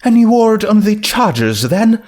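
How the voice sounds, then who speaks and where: A man's voice: sits high, very animated, measured pace, a little mellow, pitched above the performer's own voice, exaggerated voice. A man voicing a refined, motherly female pony dressmaker with a genteel, fussing, affectionate manner in a story reading. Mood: gently concerned.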